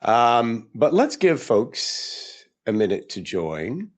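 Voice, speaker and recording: A man hosting a live podcast, chatty and upbeat, his voice hoarse and raspy from a long week of talking. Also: medium pitch, very animated, slow pace. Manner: chatty, upbeat, greeting viewers. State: hoarse, tired voice. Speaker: podcast host.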